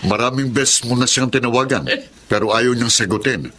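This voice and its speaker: An actor playing an indignant, exasperated Filipino uncle complaining in a radio drama. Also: male voice, mid-range voice, moderate pace, sharp tone, a little hiss or hum, close to an everyday voice.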